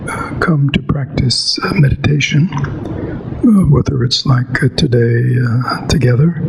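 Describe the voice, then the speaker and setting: A man teaching meditation in a measured, halting way with long pauses, as in a guided practice talk. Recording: clear background noise, ambient rumble.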